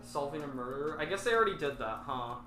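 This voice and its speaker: A woman co-hosting a podcast, tossing out quick joking remarks in casual chat. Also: sits low, animated, fairly bright tone, roomy sound, soft background music. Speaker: podcast co-host.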